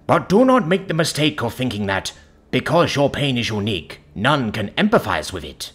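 A man playing a calm, devout male counsellor in an audiobook story, speaking in a measured, earnest, gentle but firm way. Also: mid-range voice, slightly rough. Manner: measured, earnest, preaching in metaphors.

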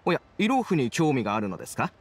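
ゲームで、男性声優が演じる礼儀正しく真面目な若い事務員。改まった事務的な口調で話す。. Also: male voice, mid-range voice, sing-song, fast, slight background noise, lightly acted. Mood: courteous. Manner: courteous, formal, businesslike. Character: polite, earnest young male office clerk. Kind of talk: Japanese anime game voice acting.

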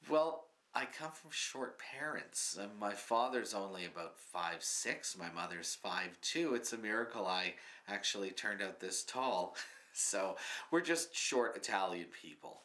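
A man in his fifties who answers earnestly and matter-of-factly, filling pauses with ums. His voice sits a little low but sounds bright and forward. He is seated in a small studio. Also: lively intonation. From Canada. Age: 50s. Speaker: comedy writer, guest. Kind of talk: mock interview, plain answers. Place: small studio with curtain backdrop.

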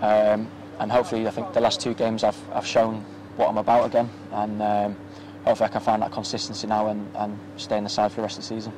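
Young male footballer, flat low delivery, halting, outdoor handheld-mic interview. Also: rough recording. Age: young adult. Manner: soft-spoken, many ums and restarts. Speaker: professional footballer. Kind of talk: post-match interview. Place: outdoors at a floodlit football ground at night. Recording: outdoor ambience with faint noise.

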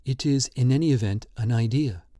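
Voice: medium pitch, brisk, warm tone, no echo, studio quality, lightly acted. A storybook reader voicing a skeptical, dismissive young painter in a near-ordinary voice.

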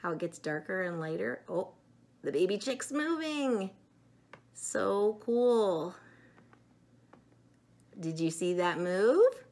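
A middle-aged woman chatting to young children in an animated, simple way in a room at home. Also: mid-range voice, slow, long pauses. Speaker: storyteller showing children books and eggs. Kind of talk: casual chat to children.

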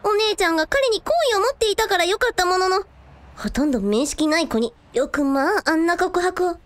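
Woman voicing a very high-pitched, quirky young sister. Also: lively intonation, fairly quick. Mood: concerned. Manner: quaint mannered sentence endings. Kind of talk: radio drama acting.

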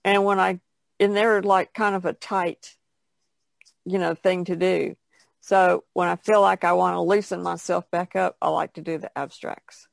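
A woman's voice: lowish voice, little pitch movement, measured pace, vocal fry, no room sound. A woman painter chatting casually as a podcast guest, explaining loosely with trailing asides. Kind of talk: casual chat about painting.

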